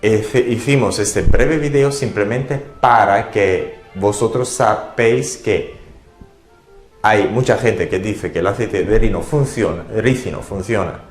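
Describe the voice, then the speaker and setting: A man's voice: on the low side, slow, echoey room, faint music behind. A man born in Italy, speaking as the founder of an aesthetics business, hesitant with many fillers and restarts. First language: Italian. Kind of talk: promotional talk and interview.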